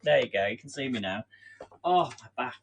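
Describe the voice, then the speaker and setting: A man's voice: medium pitch, animated, moderate pace, vocal fry, slight background noise. An English man hosting a casual live stream from his home kitchen, chatting and running a quiz while he bakes, rambling and self-deprecating with frequent laughs. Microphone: camera on a stand.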